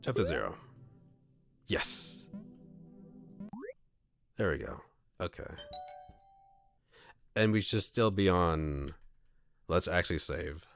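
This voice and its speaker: Low gravelly guy streaming a game, reading choices out, chatty, faint music behind. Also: takes it slowly, long pauses. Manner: reads choices aloud, chatty, laughs often. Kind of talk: casual game stream commentary.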